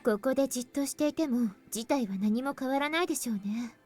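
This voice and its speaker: Young anime schoolgirl, visual novel voice, very high, rapid, soft game music behind. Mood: calm, thoughtful. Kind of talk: Japanese visual novel voice acting. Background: faint game music.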